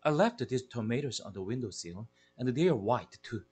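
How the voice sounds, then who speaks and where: A man with a husky, breathy voice reading a storybook, playing a fretful middle-aged mother who talks anxiously in raised tones. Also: animated, moderate pace, a little mellow. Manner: anxious, breathless, rising pitch.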